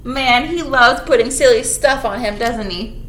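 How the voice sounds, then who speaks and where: High-pitched, animated children's storytime woman. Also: unhurried. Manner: playful, exaggerated, talks to small children. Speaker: children's librarian leading storytime. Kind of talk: animated storytime read-aloud with asides to young children. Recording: roomy echo with background noise.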